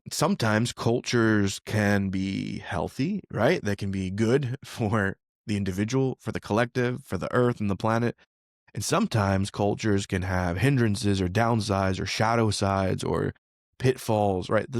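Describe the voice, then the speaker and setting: A man hosting a podcast, explaining ideas in a relaxed, conversational way and often checking in with "right?". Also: fairly low pitch, dry recording, clean studio sound. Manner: conversational, frequent "like" and "right?" tag questions. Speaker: podcast host. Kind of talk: casual explanatory talk. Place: living in Philadelphia.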